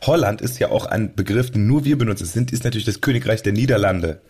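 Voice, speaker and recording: Male podcast host, rapid and lowish, rambling casual talk with frequent broken-off sentences. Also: darkish tone, husky. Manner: talkative, rambling, breaks off sentences. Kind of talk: casual chat.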